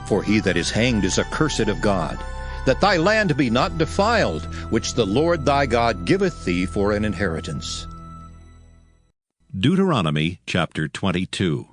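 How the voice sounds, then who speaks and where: A man's voice: fairly low pitch, big pitch swings, unhurried, music in the background. A man narrating scripture in a solemn, declamatory style, as in a dramatized Bible reading.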